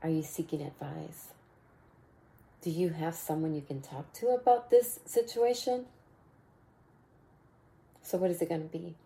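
Slow, low-pitched raspy woman host. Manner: warm mentoring tone, repeats phrases, bursts of laughter. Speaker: podcast host. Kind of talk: casual motivational podcast talk.

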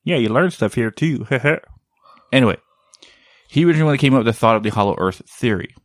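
A man's voice: mid-range voice, animated, a little fast, dry recording. A man hosting a casual chat, rambling and often searching for the right word.

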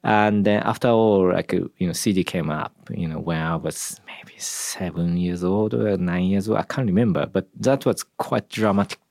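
A man from Japan with a Japanese accent, speaking as an interview guest in a halting way, with lots of "like" and "you know". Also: deep voice, gravelly, a little mellow, no echo, studio quality. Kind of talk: casual interview chat.

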